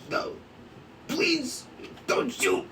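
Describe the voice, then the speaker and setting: A man's voice: extremely slow, sharp tone, slight background noise. A man playing a timid ex-security guard, speaking in plain colloquial terms in a cartoon script table read. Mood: frightened, pleading. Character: timid, husky male ex-security guard, cooperative informant. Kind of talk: animated series table read.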